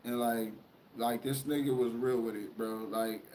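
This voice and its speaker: A man's voice: mid-range voice, steady tone, unhurried, darkish tone, slight background noise, low-quality recording. A young man hosting a casual chat, talking loosely and profanely with lots of rambling. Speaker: podcast host.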